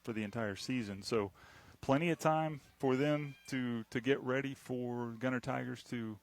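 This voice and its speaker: A man's voice: medium pitch, normal speed. Local radio play-by-play man, rambling and teasing, mid-range voice. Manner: chatty, rambling, teasing his partner. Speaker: play-by-play announcer. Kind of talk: local radio football commentary.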